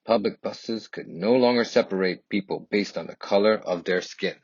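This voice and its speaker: A man reading a children's picture book aloud in first person, with earnest, deliberate and expressive delivery. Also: fairly low pitch, slightly rough, dry recording, muffled recording. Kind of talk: expressive scripted storybook narration in first person.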